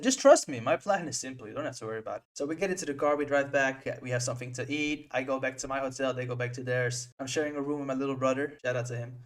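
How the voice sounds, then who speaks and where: A young man from the Netherlands telling an animated story as a vlogger, acting out the other people's lines with big jumps in pitch. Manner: excitable, acts out friends' lines with swings in pitch.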